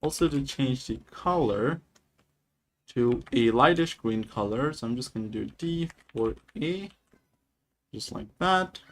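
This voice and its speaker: A man's voice: mid-range voice, lively intonation, measured pace. A man from Nepal on a live coding stream, casually dictating each line of code and chatting with viewers. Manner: casual, talks through each step while typing.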